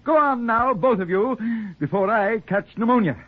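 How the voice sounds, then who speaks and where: Sheepish middle-aged dad in a 40s radio play, husky, voice swings around, narrow old recording. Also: male voice, high voice, lively intonation, moderate pace. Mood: jovial urging. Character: wayward, affable middle-aged father, sheepish and grateful. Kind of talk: 1940s radio acting. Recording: muffled, narrow-band 1940s radio recording.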